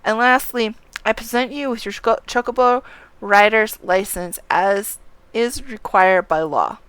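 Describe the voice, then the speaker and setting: A woman reading aloud the fantasy game lines of a courteous, formal male stable clerk, in a polite, measured manner. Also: medium pitch, fairly bright tone, clean tone, close to an everyday voice.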